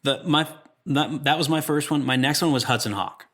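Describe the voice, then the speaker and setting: A man's voice: fairly high pitch, normal speed, fairly bright tone. A man co-hosting a movie podcast, telling stories in a slangy, conversational and casual way.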